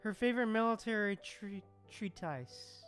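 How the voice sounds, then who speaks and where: A woman's voice: medium pitch, normal speed, faint music behind. A woman streaming a game with casual, giggly reactions, repeating phrases as she chats with viewers. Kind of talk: casual livestream reaction chat.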